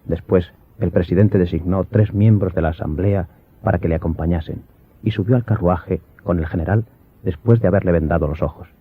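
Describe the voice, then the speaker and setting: A man's voice: low-pitched, a little fast, slight background noise. A man reading a scripted audiobook narration as the storyteller. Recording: dull lo-fi sound, faint music behind.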